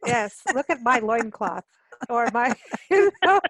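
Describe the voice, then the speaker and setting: A woman's voice: fairly high pitch, big pitch swings, slow, a bit bright. A woman from Kenya hosting a casual radio interview, talking in a chatty, informal, joking way. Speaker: radio show host.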